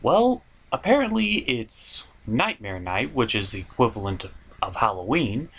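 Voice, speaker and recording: A man's voice: mid-range voice, big pitch swings, unhurried, fairly bright tone, breathy edge. A man hosting a scripted comedic review, narrating in a sarcastic, exasperated manner. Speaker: host of a comedic cartoon review show.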